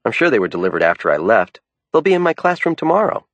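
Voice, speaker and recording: Male reader raising his voice into a bright, teasing young woman, lively lilt, dry studio sound. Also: medium pitch, fast, no echo, voice pushed up, natural voice. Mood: playful. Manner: light, teasing. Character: young woman, playful teacher girlfriend.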